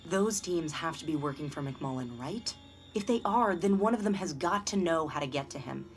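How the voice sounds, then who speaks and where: An actress playing a capable scientist, giving urgent, businesslike instructions in a game cutscene. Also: female voice, low voice, lively intonation, normal speed, faint music behind. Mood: urgent, purposeful. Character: urgent, capable woman scientist guiding a fugitive. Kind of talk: dramatic game cutscene briefing.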